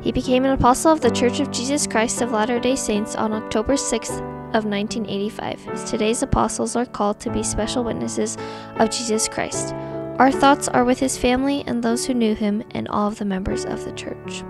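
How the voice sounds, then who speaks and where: A woman's voice: on the high side, fairly even intonation, fairly quick, vocal fry. A teenage young woman hosting a student news show, speaking in a scripted presenter style into a handheld microphone. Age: teens. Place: indoor room at a desk. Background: background music.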